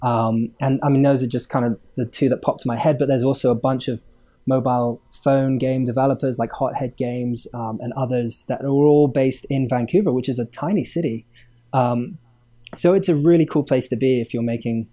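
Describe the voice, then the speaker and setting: A man's voice: medium pitch, fairly even intonation, moderate pace, warm tone. A man, born in Hong Kong, chatting as a podcast guest with many fillers and chuckles. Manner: rambling, many fillers, chuckles mid-sentence. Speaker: game studio owner, guest. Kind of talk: casual interview chat.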